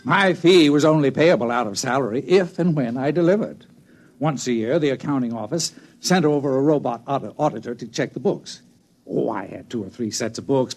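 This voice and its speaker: An actor playing an excitable, blustering old man, an indignant washed-up swindler with a shaky voice, in 1950s radio drama style. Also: medium pitch. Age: older.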